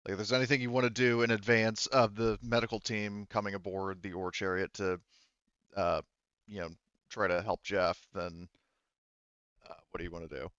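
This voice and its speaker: Male tabletop game master, low-pitched, clean smooth tone, informal hesitant delivery, muffled dry sound. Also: steady tone, normal speed, a bit bright, no room sound. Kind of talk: hosting a tabletop roleplaying game session.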